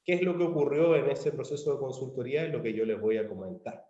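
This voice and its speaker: A man with a Chilean accent giving a measured conference presentation over a video call, with frequent fillers and restarts. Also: medium pitch, mellow, roomy sound, dull audio. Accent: Chilean Spanish. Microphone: computer mic on a video call.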